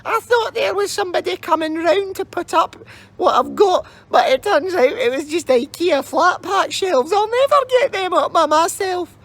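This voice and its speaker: Squeaky wailing old lady. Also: expressive, normal speed. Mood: fretful. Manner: wailing, whimpering sighs and moans.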